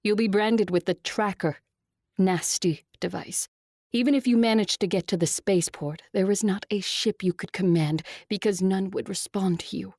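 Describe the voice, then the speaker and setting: An actress playing a proud, seductive vampire ruler in an audio drama, with a cool, controlled, imperious manner. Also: female voice, mid-range voice, moderate pace, a little mellow, no room sound, studio quality, natural voice. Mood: cold, controlled. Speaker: voice actor.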